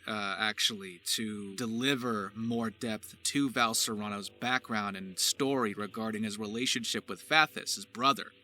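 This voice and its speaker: A man who works as a voice actor, hosting an explainer video with deliberate, emphatic phrasing. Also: medium pitch, sharp tone. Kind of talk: scripted-sounding explainer narration.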